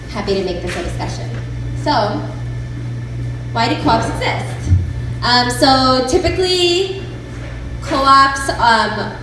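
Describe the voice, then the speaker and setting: A woman's voice: sits high, animated, takes it slowly. A woman giving a casual talk to a small group, chatty and informal, with a lot of fillers. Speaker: guest speaker. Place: room with a small audience. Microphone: room mic, no handheld mic. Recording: echoey room from far off, background noise.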